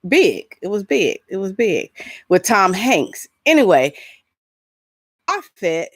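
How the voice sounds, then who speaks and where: A woman's voice: mid-range voice, very animated, slow, no room sound. Chatty middle-aged woman hosting, close dry sound. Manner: chatty, loose and rambling, often breaking off into asides. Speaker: host of an entertainment news show. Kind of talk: casual solo vlog chat with announcements.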